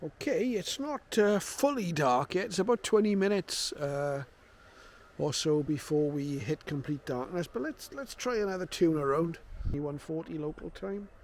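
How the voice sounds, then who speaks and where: Higher-voiced man giving a casual radio tutorial. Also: animated, moderate pace. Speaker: host demonstrating a shortwave radio.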